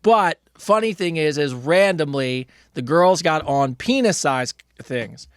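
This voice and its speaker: A man's voice: on the high side, expressive, unhurried, a bit bright, smooth, no echo, clean studio sound. A middle-aged man co-hosting a casual comedy podcast, telling loose rambling stories and reading out listener questions, with plenty of restarts and swearing.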